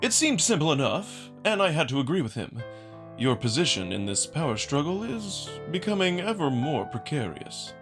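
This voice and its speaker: A man voicing a genteel, scheming male jackal noble in a visual novel, with a high, soft voice and formal, measured diction. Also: big pitch swings, over music. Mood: smooth, persuasive. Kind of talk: visual novel dramatic reading.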